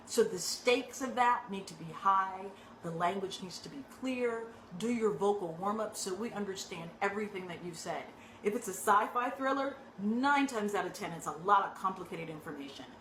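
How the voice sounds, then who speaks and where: A woman acting coach giving direct, conversational tips to actors, often checking in with a quick "right?". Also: medium pitch, a little hiss or hum. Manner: conversational, frequent rhetorical "right?" tags; animated and emphatic. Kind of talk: direct teaching talk to actors. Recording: echoey room with faint ambience.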